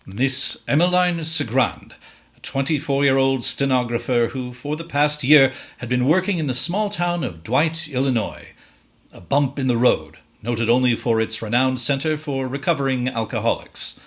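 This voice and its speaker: An actor as a wry storytelling narrator in a musical table read, delivering scripted narration with deliberate, theatrical phrasing. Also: male voice, medium pitch, expressive, fairly bright tone, lightly acted.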